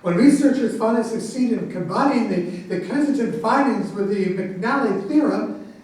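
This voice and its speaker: Prickly officer-professor acted by a man, high-pitched, grandiose and quarrelsome; stage reading in a very echoey room. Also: moderate pace, a little mellow, distant mic. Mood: combative. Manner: argumentative, grandiose. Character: prickly, self-important military officer and professor. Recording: very echoey room recorded from afar.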